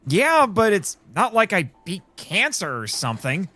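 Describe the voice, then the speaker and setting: A man's voice: sits high, sing-song, a little slow, pushed and bright, in a large hall, full character voice. A man playing a weary, sardonic radio host in an audio drama, dry and dramatic, switching between first-person narration and dialogue. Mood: flustered, bitter. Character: sardonic, weary young male radio host who survived a crash.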